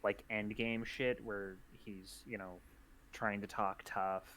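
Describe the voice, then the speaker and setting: A man with a lowish voice explains things in casual chat, dry and halting. Faint background noise sits behind him. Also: little pitch movement, fairly bright tone.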